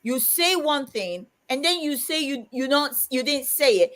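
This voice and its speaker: A woman with a somewhat high voice co-hosts a casual live chat stream. She tells stories with lots of animation and laughs often. She acts out back-and-forth dialogue and reads viewer comments aloud. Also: animated, measured pace, fairly bright tone.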